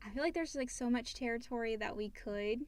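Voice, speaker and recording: A woman's voice: on the high side, a little fast. A woman co-hosting a podcast, reading questions and chatting casually. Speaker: podcast co-host. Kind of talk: casual Q&A chat.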